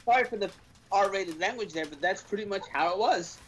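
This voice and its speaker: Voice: mid-range voice, brisk, a little hiss or hum. A podcast host talking casually and emphatically about gaming news, ranting at length. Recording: dull lo-fi stream audio.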